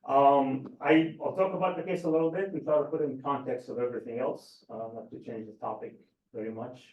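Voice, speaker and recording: A man from the Gaza Strip speaking as a panel speaker, earnest and measured, with frequent ums. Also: mid-range voice, steady tone. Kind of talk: panel talk. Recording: roomy, distant, dull sound.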